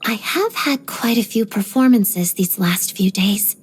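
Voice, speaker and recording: An actress voicing a reserved, disciplined young ballerina in a video game, speaking softly in a measured, guarded way with quiet pride. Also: female voice, medium pitch, expressive. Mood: polite, hesitant. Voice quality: soft, breathy. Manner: measured, composed, polite but guarded. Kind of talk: video game character voice lines.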